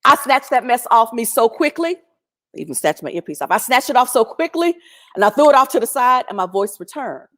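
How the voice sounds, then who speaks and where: A woman telling a personal story in a chatty, animated way, speaking over a video call in a strong, loud voice. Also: fairly high pitch, brisk. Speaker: featured guest. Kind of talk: storytelling testimony.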